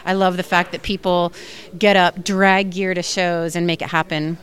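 A woman's voice: lowish voice, flat delivery, moderate pace. A woman film director answering interview questions in an earnest, enthusiastic way, with frequent fillers.

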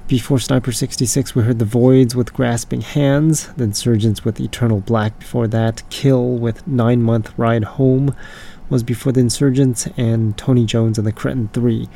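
A man hosting a punk rock music show, hoarse and raspy, rambling loosely and correcting himself as he introduces songs. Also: medium pitch, fairly even intonation, normal speed, mellow, a little hiss or hum. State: hoarse, voice not fully recovered from screaming. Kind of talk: casual radio-style song introductions.

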